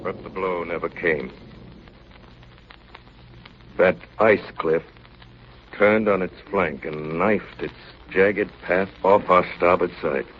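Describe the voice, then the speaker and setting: Male ship's officer and narrator, very low, serious voice, vintage radio drama with heavy noise. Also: fairly even intonation, takes it slowly, long pauses. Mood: tense, recounting. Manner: grave, vivid storytelling. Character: gruff, level-headed male chief officer of a polar ship. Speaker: narrator of the story. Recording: noisy, dull 1938 radio recording.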